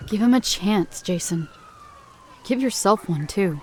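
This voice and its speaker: An actress playing a composed, firm young female crimefighter in a comic dub, speaking in a measured, earnest way. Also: medium pitch, animated, darkish tone, a little hiss or hum, lightly acted. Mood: gently urging.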